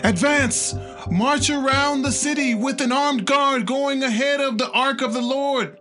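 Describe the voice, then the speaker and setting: A man's voice: extremely high pitch, a little slow, pitched above the performer's own voice. A man playing a zealous, commanding Israelite army leader, declaiming orders emphatically in a dramatized audio Bible. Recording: loud music behind the voice. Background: loud music.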